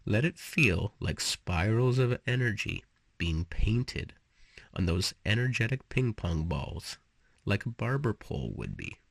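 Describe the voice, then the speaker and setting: Guy doing guided meditation, slow and soothing, husky voice that moves up and down a lot, really dry close sound. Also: fairly low pitch, no room sound. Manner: slow, soothing, instructive delivery with pauses. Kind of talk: guided relaxation meditation with storytelling.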